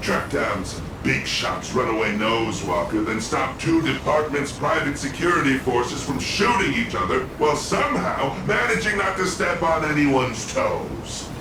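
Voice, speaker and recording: A man's voice: medium pitch, expressive, moderate pace, few pauses, background noise, natural voice. An actor playing a weary superhero man who jokes at his own expense in a video game scene. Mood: wry. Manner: wry, joking, self-deprecating. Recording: distant, echoey game mix. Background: music.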